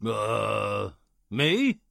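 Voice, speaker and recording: A man performs a gruff working man from an old radio drama, very gravelly and slow. He is defiant and grudging, stammering with a sharp, forceful edge. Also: fairly low pitch, very animated, no room sound, clean studio sound, full character voice. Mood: flustered. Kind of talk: 1940s radio drama acting.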